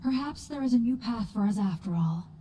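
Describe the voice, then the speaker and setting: A woman's voice: a little high, animated, brisk, mellow, slight background noise, lightly acted. A woman voicing a proud female elf in a fantasy video game, formal and dramatic in delivery. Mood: grave, hopeful.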